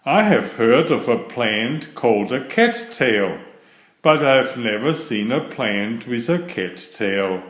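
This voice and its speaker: A man voicing a cheerful, literal-minded housekeeper woman in a children's storybook, in a bright, wondering, sing-song way. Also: mid-range voice, sing-song, takes it slowly. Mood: chirpy. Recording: roomy echo.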